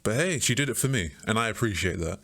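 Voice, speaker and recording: A young man with a loud, joking voice, co-hosting a podcast in casual, slangy chat. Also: on the low side, expressive, fast talker, vocal fry. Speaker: podcast co-host.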